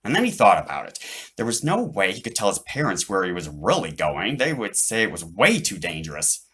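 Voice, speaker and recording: A man reading his own children's book aloud as an animated narrator. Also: lowish voice, breathy edge.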